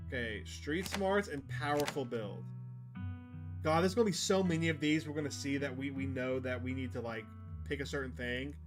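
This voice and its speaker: A man's voice: medium pitch, moderate pace, over music. A man giving casual game commentary as a streamer, muttering half-thoughts and reading on-screen story text aloud. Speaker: streamer playing a visual novel.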